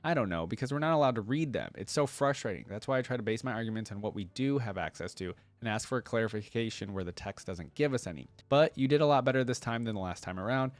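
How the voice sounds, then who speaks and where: A man's voice: mid-range voice, lively intonation, fast talker, hardly any pauses, no room sound. A man hosting a fan reaction video, talking informally and reading story text aloud. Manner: informal, conversational.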